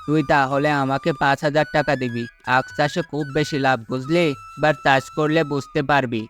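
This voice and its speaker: A man's voice: fairly high pitch, fairly even intonation, normal speed, a bit bright, lightly acted. A man playing a sly, well-off village farmer in a cartoon folk tale, persuasive and condescending as he feigns kindness. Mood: bossy, coaxing. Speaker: cartoon voice actor. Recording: music under the voice.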